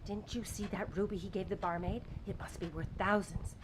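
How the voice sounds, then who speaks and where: An actress playing a young, greedy, bossy wife in an audio drama, speaking with impatient, pushy persuasion. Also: female voice, medium pitch, steady tone, a little fast, noisy background, natural voice. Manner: impatient, wheedling, commanding.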